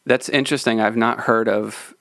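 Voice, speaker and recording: A man's voice: lowish voice, steady tone, moderate pace, vocal fry, a bit bright, no room sound. A man interviewing a guest, attentive and a little hesitant in his questions. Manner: attentive, agreeable, hesitant phrasing.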